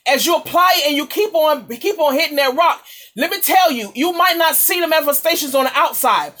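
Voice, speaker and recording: A woman's voice: sits high, lively intonation, brisk, a bit bright. A woman preacher giving an impassioned, exhorting charismatic sermon, repeating phrases for emphasis.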